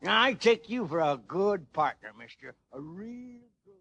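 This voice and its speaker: An actor playing a folksy, friendly old prospector with a rambling American drawl and gravelly voice in a radio western. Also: male voice, high voice, big pitch swings, a little slow, full character voice. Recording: noisy vintage radio recording.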